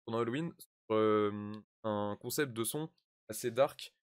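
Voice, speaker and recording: A man's voice: lowish voice, a little slow, clear, resonant tone, no room sound, clean studio sound. A man chatting casually and explaining as he goes, hesitant and thinking aloud in an informal way. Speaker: music video creator recording a drill track. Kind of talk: casual explanatory vlog chat.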